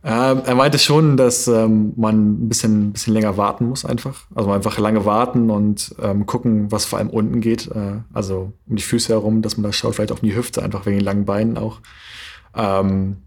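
A young German man in his twenties with a slightly low, husky voice, answering as a handball player. He talks thoughtfully and stops often to search for words. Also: little pitch movement, moderate pace. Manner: thoughtful, many hesitation fillers. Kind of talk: interview answers.

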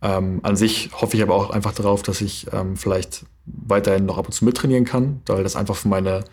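A young German man in his twenties with a slightly low, husky voice, answering as a handball player. He talks thoughtfully and stops often to search for words. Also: fairly even intonation, a little fast, a little mellow. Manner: thoughtful, many hesitation fillers. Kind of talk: interview answers.

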